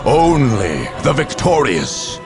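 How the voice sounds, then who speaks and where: An actor playing a grave chronicler of war, narrating a video game intro in a measured, portentous, theatrical way. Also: male voice, mid-range voice, wildly swooping pitch, full character voice. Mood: ominous, intense. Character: grave, ominous male chronicler of a galactic war. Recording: loud music under the voice. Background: loud music.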